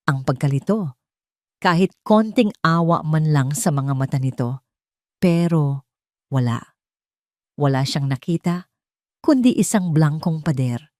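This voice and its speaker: A woman's voice: sits low, darkish tone, no room sound, studio quality. A woman narrator reading a scripted dramatic love story.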